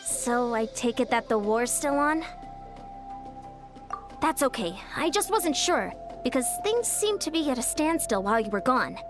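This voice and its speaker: Young female game character, high pitch, earnest, music behind. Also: big pitch swings, a little slow. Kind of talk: video game dialogue.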